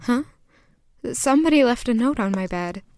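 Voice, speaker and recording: Earnest young woman, higher voice, played by an actress. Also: animated, moderate pace, a little mellow, a little hiss or hum, lightly acted. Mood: pensive, curious. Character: tender, hopeful young woman smitten with a suitor. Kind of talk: audio drama narration and dialogue.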